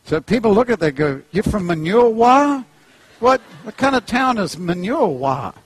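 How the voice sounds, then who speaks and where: A middle-aged man with a New Zealand accent preaching an animated, humorous sermon, jumping into playful voices. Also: moderate pace, slightly rough. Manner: jokey, acts out characters, pitch leaps high.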